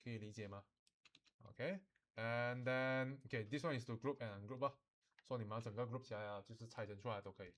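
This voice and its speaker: Man giving an informal software tutorial. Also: mid-range voice, a little fast. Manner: instructional, often checks understanding, uses particles like lah. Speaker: teacher of animation software. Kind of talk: informal software tutorial mixing English and Mandarin.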